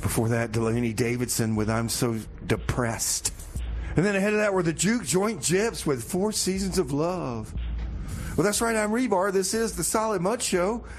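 A man's voice: high voice, expressive, normal speed. Rambling male radio host over background noise. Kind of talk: casual comedic radio banter and song announcing.

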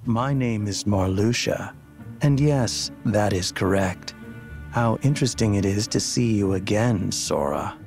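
A man's voice: lowish voice, measured pace, vocal fry, a little mellow, soft background music. A man playing a suave, menacing video game villain who speaks in a courtly, condescending, formal way. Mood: coolly condescending. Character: suave, menacing male villain of a dark organization. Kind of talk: video game cutscene acting.

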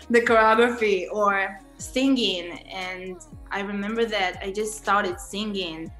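A young woman with an Israeli accent talks slowly and gently, pausing often with soft ums. Her voice comes through a laptop mic in an echoey living room, with faint music underneath. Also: mid-range voice. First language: Hebrew. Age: young adult. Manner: soft-spoken, with frequent ums and laughs. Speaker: guest singer. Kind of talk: casual interview answers over video call.

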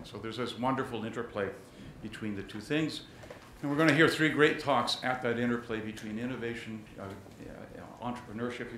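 A man's voice: mid-range voice, a little hiss or hum. Older man, session chair, genial, big voice, podium mic, roomy hall. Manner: genial, loud, says he has a big voice. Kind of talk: introducing speakers at a conference. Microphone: podium microphone.

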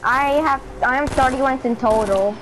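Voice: high-pitched, normal speed, background noise. A young-sounding player chatting casually with teammates during an online game. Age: child or young teen. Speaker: player in an online squad match.

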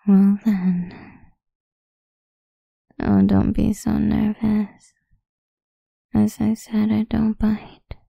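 Woman acting a breathy, whispering seductive vampire idol. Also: mid-range voice, level tone, dry recording, lo-fi sound, heavily acted. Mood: coaxing, seductive. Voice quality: breathy, husky, often whispering. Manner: slow, teasing, coaxing. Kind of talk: ASMR roleplay, intimate and whispered. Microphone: close studio-style microphone, dry.

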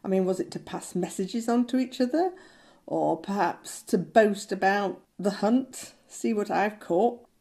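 A woman's voice: mid-range voice, unhurried, dark tone. Older lady showing how she paints, takes her time, practical and chatty, small room at home. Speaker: art tutor. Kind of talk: home craft tutorial.

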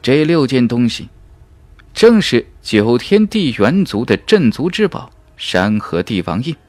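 A man reading a fantasy novel as an audiobook narrator, in a dramatic, measured and emphatic storytelling manner. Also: mid-range voice, very animated, darkish tone, no room sound.

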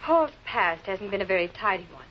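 A woman's voice: mid-range voice, lively intonation, fairly quick, slight background noise, natural voice. An actress with an American accent playing a poised woman in a 1940s television murder mystery. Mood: guarded, explaining. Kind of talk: 1940s American television crime drama acting. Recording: muffled old film soundtrack with music.

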